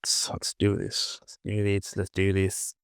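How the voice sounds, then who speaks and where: Guy doing a laid out tutorial, mumbly repeating himself, real slow, close dry sound. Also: sits low, completely flat delivery, creaky, clear, resonant tone, no echo. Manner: muttering, repetitive self-talk. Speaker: 3D modeling tutorial host. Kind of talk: casual screen-recorded tutorial, thinking aloud.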